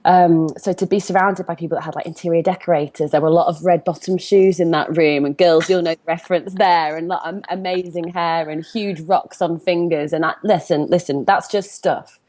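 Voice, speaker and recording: A woman's voice: fairly low pitch, fairly even intonation, a little fast, hardly any pauses, darkish tone. A woman in her thirties with a Northern English accent, chatting animatedly as an interview guest and telling personal stories. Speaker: interview guest, comparison coach. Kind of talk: conversational interview, personal storytelling.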